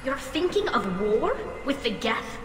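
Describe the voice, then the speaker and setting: Earnest young alien engineer, echoey. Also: female voice, fairly high pitch, very animated, unhurried. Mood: defensive. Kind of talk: video game dialogue acting. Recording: echoey reverberant space, music behind.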